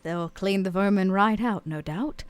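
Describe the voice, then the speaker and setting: A woman playing a haughty, world-weary vampire club owner in a tabletop game, speaking in a measured, dry way. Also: mid-range voice, clear, resonant tone, no echo, natural voice. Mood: weary, bitter.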